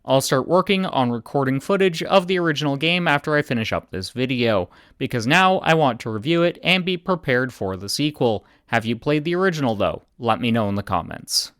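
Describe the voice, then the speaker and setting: A man giving scripted video game commentary in a deadpan voice. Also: medium pitch, a little fast, hardly any pauses, no room sound, clean studio sound.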